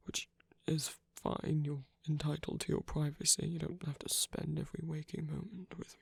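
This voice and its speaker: A performer playing a wounded male villain turned tender lover in an intimate ASMR romance roleplay, speaking in a breathy, hesitant, soft-spoken way. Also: medium pitch, dry recording, heavily acted. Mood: tentative, concerned. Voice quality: breathy, whispery.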